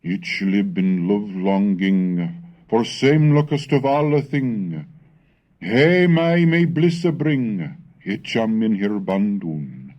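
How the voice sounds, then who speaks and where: Deep slow professor guy reading old english stuff, mellow voice, swoops around, kinda theatrical, old cassette lecture. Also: very animated, lo-fi sound. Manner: scholarly, measured, theatrical when reciting verse. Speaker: reader and lecturer on English language history. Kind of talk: scripted educational narration with dramatic recitation of Middle English texts. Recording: 1970s educational cassette recording.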